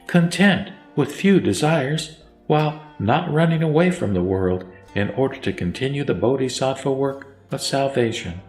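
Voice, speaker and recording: Low voiced guy solemnly reciting a sutra, lots of echo, bit of music under it. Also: darkish tone. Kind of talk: scripted recitation of Buddhist scripture. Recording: echoey voice over faint music. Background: faint music.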